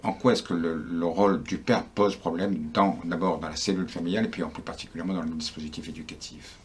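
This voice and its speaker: Deep-voiced male interviewer, chatty. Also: steady tone, fast talker, a little hiss or hum. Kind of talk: news interview.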